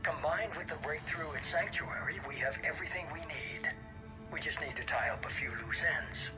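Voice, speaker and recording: An actor playing a cold, measured, commanding leader of a shadowy organisation in a video game. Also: male voice, fairly high pitch, natural voice. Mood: measured, calculating. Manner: measured, authoritative, dismissive. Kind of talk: video game cutscene acting. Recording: music behind the voice.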